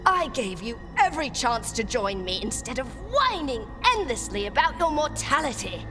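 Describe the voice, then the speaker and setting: A power-hungry sorceress villain from a video game. She sneers with grand, lofty contempt, her pitch rising and falling dramatically. Loud music plays behind her. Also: big pitch swings, moderate pace. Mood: contemptuous, gloating. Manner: sneering, theatrical, lofty formal diction. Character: haughty, scornful, power-hungry female necromancer villain.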